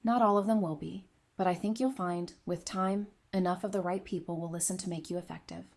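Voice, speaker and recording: A woman's voice: lowish voice, steady tone, fairly quick, darkish tone. A woman playing a frank, loyal and caring friend in an audio drama. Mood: friendly, assured.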